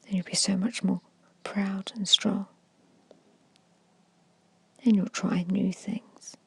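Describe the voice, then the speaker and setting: Woman, whispered ASMR hypnosis, very breathy, soft and mellow, hushed gentle instructions, meditation guide. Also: medium pitch, level tone, takes it slowly.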